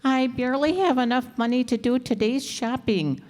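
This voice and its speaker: A woman's voice: sits high, normal speed, darkish tone, close to an everyday voice. A woman playing a kindly, chatty landlady, fond and earnest, in an old-time radio comedy. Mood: sympathetic. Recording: reverberant hall stage recording.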